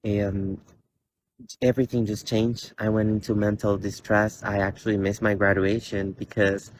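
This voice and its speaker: A young man in his twenties from Costa Rica gives an earnest, halting personal statement with many fillers and restarts, standing in a large indoor theater. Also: on the low side, little pitch movement, a little hiss or hum. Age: 20s. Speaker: college student.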